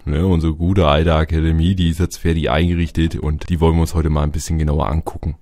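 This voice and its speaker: A man with a cold and a slightly hoarse voice, chatting casually as he gives a tour of his build and keeps adding little filler tags. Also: rumbling low, little pitch movement, fast talker, darkish tone, a little hiss or hum. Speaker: Minecraft YouTuber. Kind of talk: casual gameplay tour narration.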